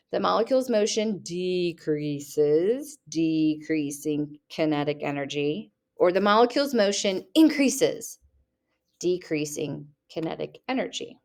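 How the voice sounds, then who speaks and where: A woman's voice: fairly low pitch, a little mellow. A young woman teacher reading test questions aloud and rephrasing them playfully for her students, recorded at her computer. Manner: reads each question, then rephrases it playfully.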